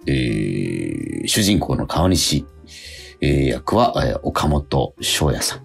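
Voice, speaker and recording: Low, husky male radio host. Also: very animated, slow pace, soft background music. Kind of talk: casual radio chat with cast credits.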